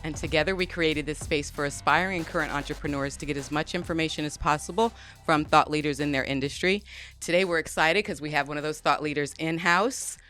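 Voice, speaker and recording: A woman co-hosting a podcast, asking short brisk questions of her guest. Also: deep voice, a bit bright, faint music behind. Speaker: podcast co-host. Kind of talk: hosting interview.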